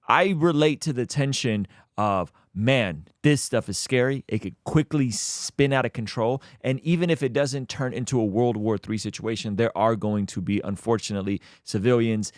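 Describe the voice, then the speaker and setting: A man's voice: mid-range voice, normal speed, hardly any pauses, no echo, clean studio sound. A man from Azerbaijan hosting his own channel, giving earnest, emphatic commentary straight to his viewers. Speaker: YouTube host. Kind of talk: faith-based commentary on current events.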